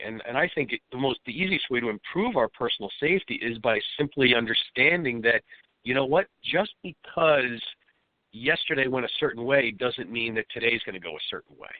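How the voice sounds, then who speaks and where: A man with a cold and a slightly raspy voice, a self-defense instructor explaining things steadily as a guest on a radio show. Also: mid-range voice, no room sound. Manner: steady, explanatory, with many fillers. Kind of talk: radio interview. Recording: tinny phone-line audio, dry.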